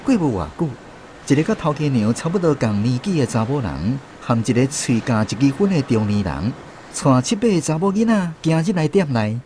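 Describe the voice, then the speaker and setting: A man's voice: mid-range voice, very animated, a little fast, darkish tone, slight background noise. A man with a Taiwanese accent reading scripted story narration in a radio drama.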